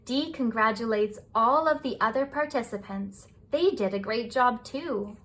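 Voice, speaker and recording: Slow, high-voiced woman reading a children's story. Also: fairly bright tone. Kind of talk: scripted bedtime story narration for children.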